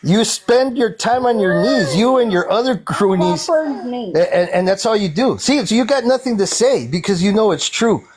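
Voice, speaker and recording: A man's voice: sits high, animated, moderate pace, darkish tone, husky. A man in his forties calling in to a political debate, combative and ranting, talking over the other side. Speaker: caller.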